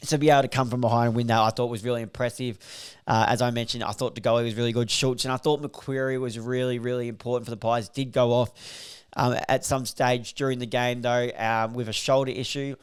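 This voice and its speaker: Brief: male podcast host, a bit croaky, smooth resonant tone, rambling stat-heavy review. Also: medium pitch, little pitch movement, fast talker. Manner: rambling, filler-heavy, reels off stats. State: slightly croaky, voice recovering. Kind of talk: casual solo podcast review.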